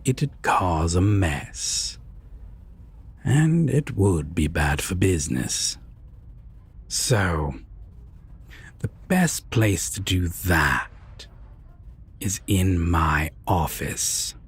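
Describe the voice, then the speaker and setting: A man playing a sadistic, possessive vampire villain in a dark audio roleplay, husky and often near a whisper, deliberate and condescending. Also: low-pitched, big pitch swings, heavily acted. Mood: cold, threatening. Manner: menacing, slow, deliberate, condescending.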